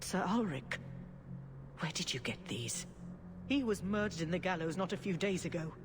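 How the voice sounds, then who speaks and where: An actress playing a dignified elderly female cleric in a video game, speaking in a stately, measured, diplomatic way. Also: fairly low pitch, expressive, background noise, close to an everyday voice. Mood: grave.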